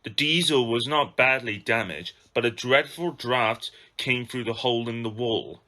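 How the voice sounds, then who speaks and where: A man's voice: mid-range voice, unhurried, bright, forceful tone. A man narrating a children's story from a script as an amateur reader.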